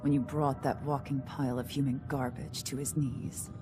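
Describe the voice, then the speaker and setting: An actress playing a haughty, calculating gang leader in a video game, refined, condescending and sardonic. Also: female voice, very deep voice, little pitch movement, moderate pace, music in the background. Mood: sardonic, probing. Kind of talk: video game dialogue acting.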